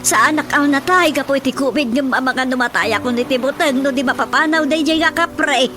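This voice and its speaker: A woman's voice: high voice, fairly quick, lightly acted. A woman with a Filipino accent playing an anxious, superstitious rural wife and mother in a radio comedy drama. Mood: fretful. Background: music.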